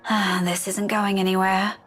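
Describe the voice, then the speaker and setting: Man as an exasperated woman, raised pitch. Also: level tone, normal speed, fairly bright tone, faint music behind. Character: exasperated, weary woman teacher talking to herself.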